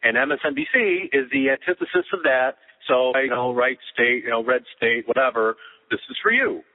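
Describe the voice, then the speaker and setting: Podcast co-host heard over a tinny phone line, mid-pitched man, opinionated and measured, often repeats a word before going on. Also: very animated. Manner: opinionated, measured, stammers on restarts. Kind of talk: casual phone conversation.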